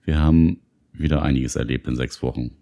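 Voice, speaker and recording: A man's voice: extremely low pitch, steady tone, fairly quick, dark tone. A man co-hosting a podcast in casual chat, trading dry quips and reflecting on recent weeks. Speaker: podcast co-host.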